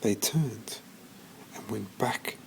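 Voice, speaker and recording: A man reading a children's story aloud in an expressive storytelling manner, occasionally stumbling and restarting. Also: lowish voice, slow pace, gravelly, a little hiss or hum. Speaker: storybook reader.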